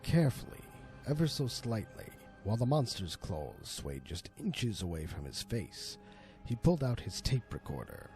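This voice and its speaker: A man narrating a horror story in a theatrical, deliberate manner, as an amateur storyteller and voice actor. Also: on the low side, huge pitch swings, darkish tone, soft background music. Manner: theatrical, deliberate, swooping delivery.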